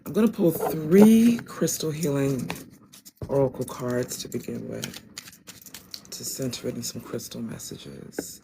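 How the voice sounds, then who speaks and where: Raspy-voiced woman reading tarot live. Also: rumbling low, unhurried, background noise. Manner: loose, rambling, with many ums and asides. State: raspy, deeper than usual voice. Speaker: tarot and oracle reader. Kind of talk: casual livestream reading.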